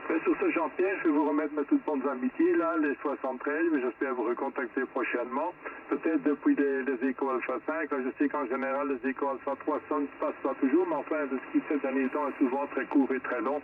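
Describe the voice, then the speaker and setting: A man rambles casually over amateur radio, explaining as he goes. His voice is medium in pitch and sounds distant. The signal is tinny and band-limited, with faint static. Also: steady tone, brisk. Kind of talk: casual ham radio contact.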